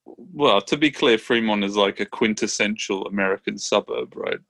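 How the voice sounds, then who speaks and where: Male co-host, gravelly voice, dry sound, direct questions. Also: lowish voice, normal speed, a bit bright, dry recording. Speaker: podcast co-host. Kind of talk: casual interview chat.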